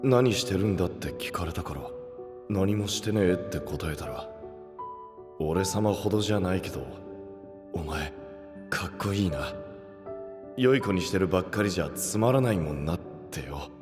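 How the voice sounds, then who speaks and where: A man playing a rough, brooding young knight in a voice drama, gruff and anguished, proud yet tormented. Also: low voice, lively intonation, normal speed, long pauses, music in the background. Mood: wistful, subdued. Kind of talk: anime-style voice drama acting.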